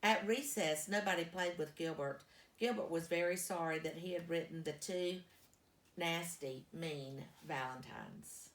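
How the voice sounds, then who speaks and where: Female children's storyteller, lowish voice, expressive and deliberate narration, roomy echo. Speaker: storyteller reading a children's book aloud. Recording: echoey, roomy sound.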